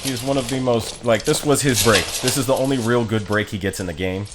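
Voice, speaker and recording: A man's voice: medium pitch, fairly quick, noisy background. A man streaming a video game, giving casual, offhand commentary that loosely explains what is going on. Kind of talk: casual let's play commentary. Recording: voice over game music. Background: game music.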